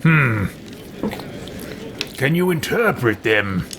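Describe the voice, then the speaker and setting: A man voicing an imperious, menacing adventurer in a deliberate, theatrical video game roleplay. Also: mid-range voice, very animated, creaky, noisy background, heavily acted. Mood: curt.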